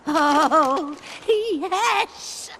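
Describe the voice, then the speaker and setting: A woman's voice: high voice, lively intonation, extremely slow, pushed and bright, a little hiss or hum, exaggerated voice. A woman playing a wheedling, cackling old witch in a video game, speaking in broken, theatrical phrases about herself in the third person. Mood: wheedling, eager.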